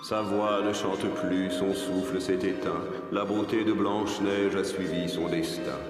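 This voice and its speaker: A man voicing a solemn magic mirror spirit that declaims measured verse in a dubbed cartoon. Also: low-pitched, little pitch movement. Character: solemn magic mirror spirit, obedient oracle speaking in rhyme. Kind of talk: dubbed fairy-tale cartoon acting. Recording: cavernous long echo, music behind.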